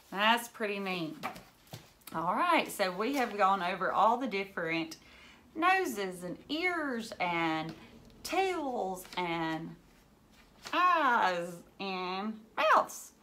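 A woman in her thirties reading a children's book aloud like a teacher, with expressive asides and questions to young listeners. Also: medium pitch. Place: indoors, living room at home.

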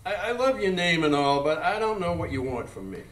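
An actor playing a drunken, brash American steamboat captain who rambles and mocks in a live radio drama. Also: male voice, a little high, very animated, brisk, fairly bright tone. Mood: rambling, exasperated. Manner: rambling, mocking, incredulous. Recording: echoey live stage, distant mic.

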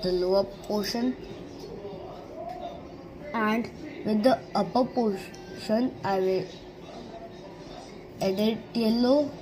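A woman of middle pitch walks through a digital painting, one small step at a time. She talks slowly in short, plain phrases. Music plays clearly behind her voice-over. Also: poor audio. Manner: simple instructions in short broken phrases. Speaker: art teacher. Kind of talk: step-by-step drawing tutorial. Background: background music.

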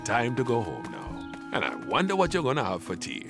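Fussy, creaky-voiced castle servant. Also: male voice, medium pitch, wildly swooping pitch, brisk. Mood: gently firm. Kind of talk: children's cartoon voice acting. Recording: faint music behind the voice.

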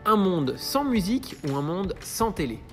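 A man hosting off camera, reading playful quick-fire questions in a brisk manner. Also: high-pitched, very animated, faint music behind. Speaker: interviewer host.

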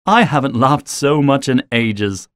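A man playing a curious, good-natured middle-aged villager in an animated children's story, hearty and exclamatory. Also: medium pitch, unhurried, clear, resonant tone, dry recording, clean studio sound, natural voice. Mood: hearty, welcoming.

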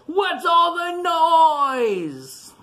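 A man voicing a grumpy, sleepy male grizzly bear in a storybook, shouting in a high strained voice. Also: sing-song, drawn-out words, full character voice. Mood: irritated. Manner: loud high whining shout.

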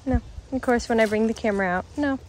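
A woman's voice: on the high side, quick pace, a crowd in the background. An American woman in her thirties chatting casually to a handheld phone as a parent vlogger. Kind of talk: casual vlog chat. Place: indoors at home, then outdoors in yard and driveway. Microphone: handheld phone camera. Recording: clearly noisy background.

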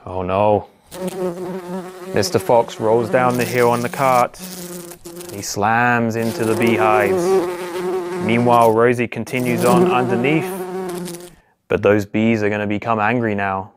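A man in his thirties reads a picture-book story aloud for small children. His voice sits at a medium pitch. He narrates in simple phrases, with expressive exclamations and questions put to the young listeners. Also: measured pace, pauses often, background noise. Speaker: police constable reading a children's story. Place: small indoor office or home room.

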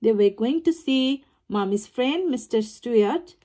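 Brief: woman storybook reader, unhurried, very lively melody, slight stumbles. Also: on the high side. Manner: slow, sing-song storytelling with small slips and restarts. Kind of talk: reading a picture book aloud to children.

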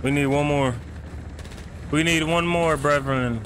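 A man's voice: a little high, steady tone, a little slow, fairly bright tone, slight background noise. A man giving casual livestream reactions to a game in slangy, repetitive phrases with plenty of swearing. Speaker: game streamer.

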